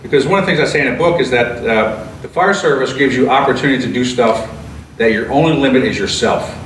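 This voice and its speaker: A man in his sixties, a retired fire service veteran, answering interview questions in an earnest, steady way with many fillers and restarts. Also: medium pitch, fast talker, recorded from a distance. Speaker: retired fire chief and author. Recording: echoey room, voice from off-mic, clear background noise.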